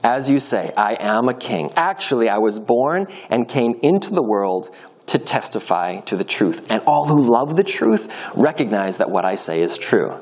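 A man voicing a calm, solemn, quietly sure teacher in a dramatized scripture reading, speaking in a measured, earnest way. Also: mid-range voice.

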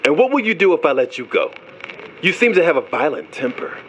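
A man's voice: a little high, sing-song, a little fast, fairly bright tone, a little hiss or hum, poor audio. An actor playing a stern ship's investigator in an audio drama, firm, commanding and skeptical as he questions a suspect. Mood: firm, probing. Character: stern, authoritative male ship's investigator running an interrogation.

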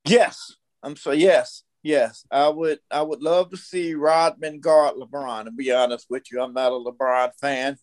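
A man's voice: fairly high pitch, steady tone, unhurried, few pauses. An American man in his fifties telling stories casually, blunt and opinionated, repeating himself for emphasis. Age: 50s. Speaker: podcast guest. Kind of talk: casual storytelling conversation.

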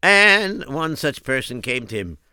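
A man's voice: on the high side, sing-song, a little slow, bright, forceful tone, clean tone, no room sound, clean studio sound. A man telling a comic story as narrator, in a folksy, exclamatory way.

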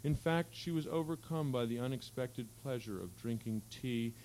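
A man reading literary fiction aloud at a live event, with a measured, articulate storytelling delivery. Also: medium pitch, darkish tone, poor audio. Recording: faint hum and background noise.

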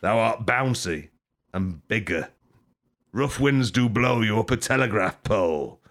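A man rambling through an improvised mock tour-guide bit, deadpan and absurd, swearing and laughing at his own jokes. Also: lowish voice, unhurried. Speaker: podcast host.

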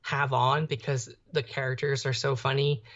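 A man's voice: medium pitch, level tone, moderate pace, a bit bright. Young guy on a podcast, rambly and casual, sound a bit dull. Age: young adult. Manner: rambling, peppered with "like". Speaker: podcast co-host. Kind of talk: casual chat. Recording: slightly dull, muffled audio.

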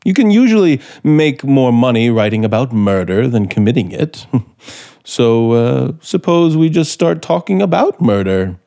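An actor playing a brooding, manipulative scriptwriter in 1950s radio suspense style, probing deliberately with dramatic pauses. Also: male voice, mid-range voice, animated, creaky, warm tone. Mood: probing, wry. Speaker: actor in the lead role. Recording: 1950s radio broadcast, music behind.

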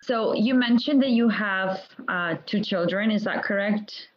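A woman co-host of a podcast, introducing the guest in a friendly, clear announcing manner over a video call. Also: medium pitch, unhurried, dull audio. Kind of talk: scripted show introduction.

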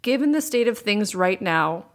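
A young adult woman hosting a solo podcast, with a raspy voice and vocal fry worn from recording many times, talking candidly and repeating words for emphasis. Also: mid-range voice, expressive, moderate pace. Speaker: podcast host. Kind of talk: casual solo podcast talk. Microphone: USB condenser microphone.

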